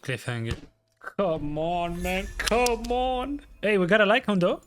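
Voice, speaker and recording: A man's voice: high-pitched, animated, measured pace, noisy background. A man streaming a video game, reacting to the story in short, casual comments. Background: game music.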